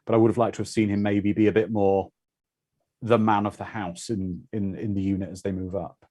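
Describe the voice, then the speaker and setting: Low-pitched man chatting about a film. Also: level tone, fairly quick, darkish tone. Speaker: watch party host. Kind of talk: casual film commentary chat.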